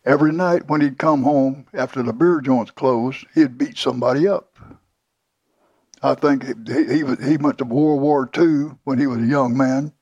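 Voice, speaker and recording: A man's voice: medium pitch, fairly even intonation, normal speed, a little mellow, breathy edge, no room sound, dull audio. An older man telling his life story in an interview, plainly and with many restarts. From Houston, Texas. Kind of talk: oral history interview.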